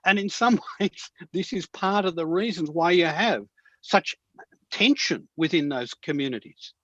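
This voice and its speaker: Male moderator, higher pitch, inquisitive, dry and dull recording. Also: animated, unhurried, a bit bright, dry recording. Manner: inquisitive, brief prompts. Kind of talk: panel moderation.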